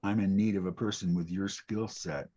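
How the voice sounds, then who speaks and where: An actor playing a smug, menacing blackmailer on the phone in a screenplay table read, with a husky voice and formal, deliberate wording. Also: male voice, low-pitched, fairly even intonation, mellow, lo-fi sound. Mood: cool, menacing. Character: mysterious male blackmailer calling from a payphone, smug, menacing.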